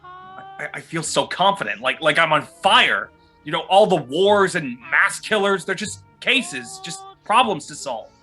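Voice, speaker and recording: A man's voice: high-pitched, huge pitch swings, measured pace, sharp tone, faint music behind, exaggerated voice. A man playing an awkward, earnest middle-aged costumed vigilante in a fan-made drama, hesitant and stammering. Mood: elated. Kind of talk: fan-made dramatic voice acting over video call.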